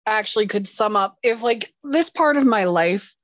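A woman's voice: mid-range voice, expressive, normal speed, dry recording. A woman co-hosting a podcast in casual chat, joking drowsily about herself. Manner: self-deprecating, drowsy joking. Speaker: podcast co-host.

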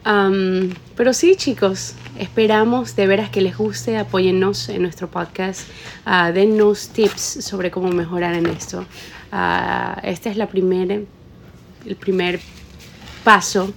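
A woman's voice: medium pitch, slow, creaky, slight background noise. A young woman from Ecuador with an Ecuadorian Spanish accent, co-hosting a casual lunch-break podcast and mixing English words into her talk. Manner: relaxed, mixes in English words. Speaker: podcast co-host. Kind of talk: casual chat. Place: kitchen break room.

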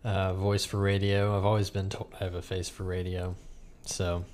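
A man's voice: low-pitched, flat delivery, normal speed, darkish tone, slight background noise. A man hosting a casual podcast, chatting in a joking, sarcastic way with his co-host. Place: room with another person.

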